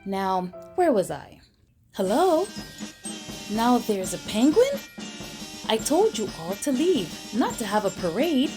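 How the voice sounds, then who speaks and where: Slow, animated woman reading a storybook. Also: on the high side, music in the background. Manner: slow, expressive reading with mock-stern emphasis. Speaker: storybook reader. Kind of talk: children's picture book read aloud.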